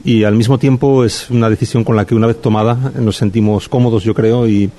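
A middle-aged man speaks in a low, mellow voice. His delivery is flat and reflective, full of pauses, restarts and little tag questions as he answers at a press event. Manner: thoughtful, hesitant, many pauses and restarts. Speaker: film director. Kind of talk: festival press interview.

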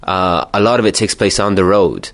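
A man with a fairly low voice hosts a radio interview. He talks conversationally, phrasing his questions politely and at some length. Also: normal speed, fairly bright tone. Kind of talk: conversational film festival interview.

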